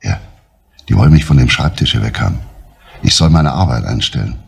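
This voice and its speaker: An actor playing a middle-aged male chief police inspector who talks in a calm, grave, measured way in an old TV crime drama. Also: exaggerated voice. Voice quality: low, husky, measured. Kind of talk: 1970s TV crime drama dialogue.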